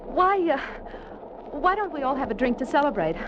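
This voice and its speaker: An actress playing an anxious, high-strung woman caught in a conspiracy, urgent and halting, in a 1950s radio thriller. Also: big pitch swings. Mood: urgent, nervous. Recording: muffled old radio recording, clear background noise.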